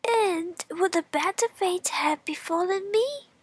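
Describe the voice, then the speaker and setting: An excitable little straw from a children's storybook, played by a woman storyteller. The voice is high and exclamatory, with a proud, dramatic manner and an unhurried pace. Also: dry recording. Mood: indignant, plaintive. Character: excitable talking straw, proud survivor, eager and plaintive.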